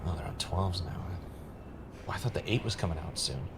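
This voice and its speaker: A man acting as a wry, uneasy podcast host in an audio drama, with a casual, halting, conversational delivery. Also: sits low, animated, noisy background. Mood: awkward. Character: Ray, wry, uneasy male podcaster investigating his own death.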